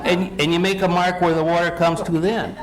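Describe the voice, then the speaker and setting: A man playing a curious schoolboy son in a live old-time radio comedy sketch, telling silly stories in a halting, eager way. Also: sits high, level tone, over a noisy crowd, natural voice. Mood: amused, eager. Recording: noisy live ballroom event recording.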